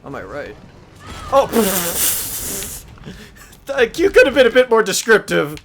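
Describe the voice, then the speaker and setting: A man streaming a video game, reacting loudly, swearing and laughing as he plays. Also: sits high, big pitch swings, slow pace, soft background music. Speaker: gamer streaming a playthrough. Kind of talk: live gameplay commentary.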